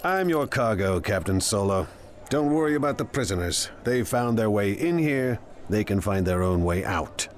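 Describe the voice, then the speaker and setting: An actor playing a proud, ruthless crime lord in a video game, with an imperious, deliberate, menacing delivery. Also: male voice, lowish voice, lively intonation, a little hiss or hum, close to an everyday voice. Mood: brisk command. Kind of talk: dramatic video game acting.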